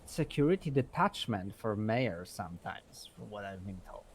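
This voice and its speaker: A man's voice: lowish voice, sing-song, moderate pace. Rambling man over faint background noise. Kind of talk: improvised casual chat in an online roleplay game.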